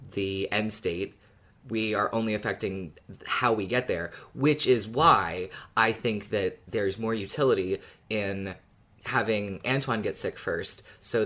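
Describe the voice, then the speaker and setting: Agreeable co-host in measured, casual discussion. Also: mid-range voice, fairly bright tone. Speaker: podcast co-host. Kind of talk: casual discussion of a fiction podcast.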